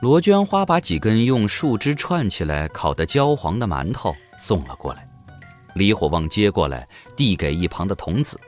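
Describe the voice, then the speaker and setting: A man's voice: on the low side, sing-song, normal speed, soft background music. A man narrating an audio drama in a dramatic, scripted storytelling style.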